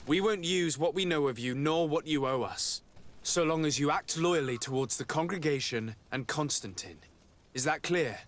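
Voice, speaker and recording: Man acting young noble envoy, game cutscene, lighter-pitched voice, earnest, direct, formal wording. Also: normal speed, a bit bright, lightly acted. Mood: firm.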